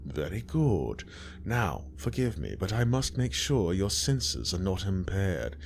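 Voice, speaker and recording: A man voicing an aging, haughty gentleman who asks fussy, formal questions in a condescending way. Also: deep voice, sing-song, slow pace, creaky, exaggerated voice. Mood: prim.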